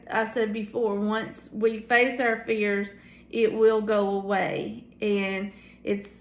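A tired woman in her forties leading a casual Bible study from a small room at home, talking into a phone held at arm's length, with many pauses and fillers. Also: fairly high pitch, echoey room. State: tired at the end of the day. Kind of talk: casual devotional teaching. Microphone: phone camera at arm's length.

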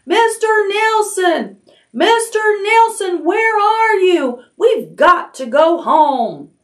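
A woman's voice: fairly low pitch, lively intonation, moderate pace. A woman voicing a bold, cheeky, fearless little girl in a storybook, exclaiming with playful confidence. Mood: shrieking. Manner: exclaiming, mischievous, breezily confident.

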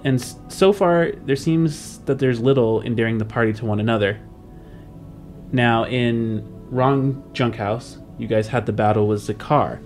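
A man's voice: medium pitch, a little mellow. Informal young man talking over music. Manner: informal, halting, rephrases questions as he goes. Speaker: podcast panelist posing questions. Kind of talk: casual discussion of a tabletop game story. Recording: music playing behind the voice. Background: background music.

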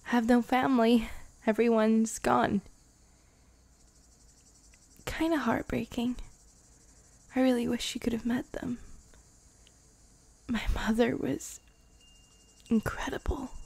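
A woman voicing a devoted vampire girlfriend in an intimate ASMR roleplay, breathy and often slipping into a whisper. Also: on the high side, fairly even intonation, no echo, exaggerated voice. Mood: soft, wistful. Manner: slow, intimate, hushed, sighing. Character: devoted, lovestruck vampire bride, tipsy and intimate.

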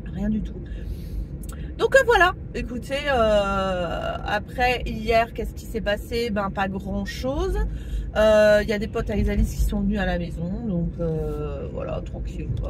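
A woman's voice: mid-range voice, background noise. A woman vlogging casually to camera, chatty and rambling with many fillers, stuffy with a cold. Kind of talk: casual vlog chat to camera.

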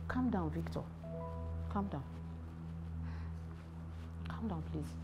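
An older woman's voice with a Nigerian accent and a widely moving pitch, playing an anxious, placating mother in a film drama. Music plays clearly behind her. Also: expressive, takes it slowly. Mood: pleading, hesitant. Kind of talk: naturalistic film drama acting.

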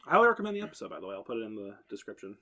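A man with a lower voice talks quickly and casually on a podcast. His speech is full of fillers. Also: big pitch swings, pushed and bright. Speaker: podcast co-host. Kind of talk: casual chat.

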